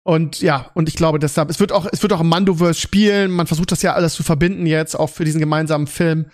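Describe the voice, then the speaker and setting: A man's voice: on the high side, steady tone, fast talker, darkish tone. A man hosting a podcast in casual chat, often repeating words as he forms his thoughts. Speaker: podcast host. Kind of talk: casual podcast chat about series and films.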